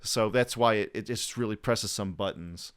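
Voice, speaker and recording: A man's voice: fairly low pitch, moderate pace, fairly bright tone, clear, resonant tone. A man co-hosting a podcast, chatting casually in a blunt, slangy and often profane way. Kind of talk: casual chat.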